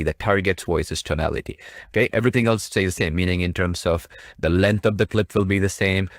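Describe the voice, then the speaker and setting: A man casually narrating a software tutorial, explaining step by step with repetition and frequent check-in questions. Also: deep voice, no room sound, clean studio sound. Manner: repetitive, explanatory, often checking with "right?" and "okay?". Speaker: tutorial presenter.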